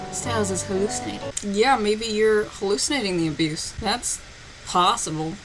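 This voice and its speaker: A woman's voice: mid-range voice, rough recording. A woman giving a mocking, sarcastic dramatic reading of a story, with her own commentary as host. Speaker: host reading fan fiction aloud. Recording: loud music behind the voice. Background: music.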